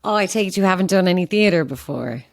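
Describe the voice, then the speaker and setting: Animated, chatty actress. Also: female voice, fairly low pitch, fast, gravelly. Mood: curious, uneasy. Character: chatty, eager young actress, impressed but uneasy. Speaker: actor. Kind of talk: naturalistic audio horror drama dialogue.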